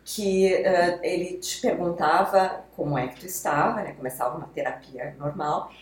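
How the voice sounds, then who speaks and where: A woman with a notably low voice, explaining things patiently one step at a time. She sounds like a guest expert walking listeners through examples. The recording has a roomy echo around her. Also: normal speed. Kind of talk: explanatory podcast talk.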